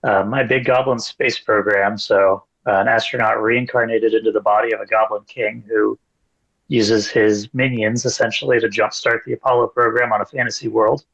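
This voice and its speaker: A man's voice: lowish voice, little pitch movement, normal speed, gravelly, fairly bright tone, husky. A man introducing himself on an online writers' panel, hesitant and full of fillers, heard over lo-fi call audio. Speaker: panelist, author. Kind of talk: casual panel chat.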